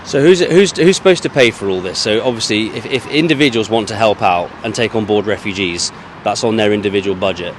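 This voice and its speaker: Man with a low voice, probing interviewer. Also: brisk, few pauses, slight background noise. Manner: probing, leading questions with repeated words. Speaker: street interviewer. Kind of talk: vox-pop street interview. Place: outdoors on a street near a university. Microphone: handheld mic.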